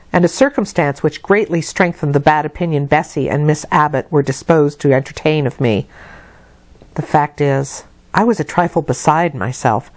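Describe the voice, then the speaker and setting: A woman storyteller reading a classic novel aloud in an expressive literary narration. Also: sits low, dry recording.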